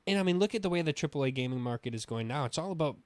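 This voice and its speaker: A man's voice: fairly high pitch, animated, very fast, darkish tone, dry recording. A man co-hosting a gaming podcast, talking casually and with strong opinions, swearing now and then. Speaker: podcast co-host.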